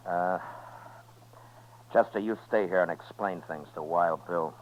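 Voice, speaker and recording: An actor playing a steady, wry Old West marshal in a 1950s radio western, with an American accent and a dry, laconic delivery. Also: male voice, low voice, unhurried, slight background noise. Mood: watchful, decisive. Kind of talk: 1950s radio western acting with first-person narration. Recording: muffled 1950s radio recording with music.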